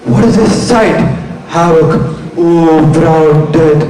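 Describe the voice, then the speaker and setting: A man's voice: on the high side, slow, warm tone, soft background music. A male actor playing a formal, commanding prince in stage Shakespeare, recorded from far off in an echoey hall. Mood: grave, commanding. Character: stately young conquering prince, formal and commanding.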